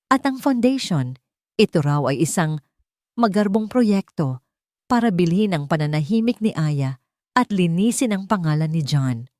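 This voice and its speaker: A woman's voice: fairly low pitch, darkish tone, no room sound, studio quality. A woman reading a scripted dramatic story as an audiobook narrator.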